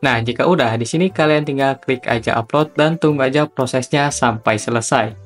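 A man's voice: mid-range voice, moderate pace. Young male tutorial host, chatty and informal, mid-range voice over background music. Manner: chatty, slangy, step-by-step instructing.

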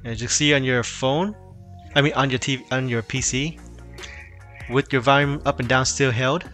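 A man's voice: mid-range voice, steady tone, normal speed, pushed and bright, soft background music. A man casually walking viewers through a phone repair tutorial, loose and rambling with frequent restarts. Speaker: tech tutorial host. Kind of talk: casual screen-recorded tutorial.

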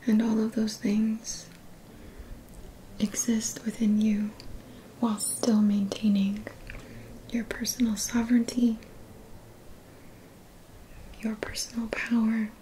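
A woman in her thirties whispers gentle, soothing affirmations as an ASMR energy healer, in a soft, breathy voice close to the mic. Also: a little high, level tone, slight background noise, poor audio. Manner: gentle, slow, deliberate phrasing with pauses. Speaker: ASMR energy healing practitioner. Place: small dim indoor room. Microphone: close mic, seated near the camera.

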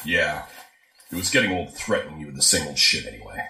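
An actor playing a ruthless, foul-mouthed spy agency director in an audio drama, curt, sardonic and commanding. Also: male voice, rumbling low, big pitch swings, quick pace, bright, forceful tone, echoey room, exaggerated voice. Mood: cold, businesslike. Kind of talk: modern audio drama acting.

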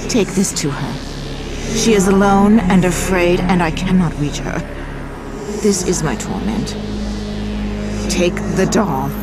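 An actress playing a cruel, scheming woman turned monster in a video game cutscene, taunting her victim in a slow, sneering manner. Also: fairly low pitch, animated. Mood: pleading. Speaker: villain, Madame Chen. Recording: game audio with loud music.

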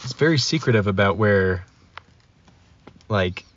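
A man's voice: on the low side, expressive, a little slow. A man chatting casually as a guest on a podcast. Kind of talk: casual chat. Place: inside a car. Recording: dull lo-fi, faint noise.

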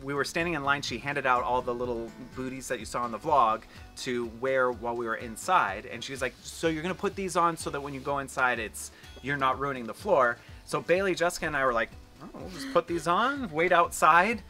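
A man's voice: medium pitch, brisk, pushed and bright, faint music behind. A man in his forties hosting a casual family travel vlog outdoors, chatty and rambling, joking and exaggerating. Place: outdoors on a patio.

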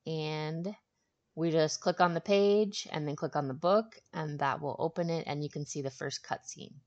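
Woman, deep-pitched for a female voice, casual gaming commentary, halting mid-sentence. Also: steady tone, a little mellow, muffled recording. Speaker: walkthrough host. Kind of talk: casual game walkthrough commentary.

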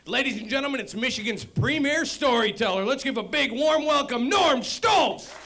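A man's voice: very high-pitched, animated, moderate pace, pushed and bright. A man giving a rousing, projected introduction as announcer at a live comedy show. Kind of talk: loud stage introduction. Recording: live venue, crowd and faint noise.

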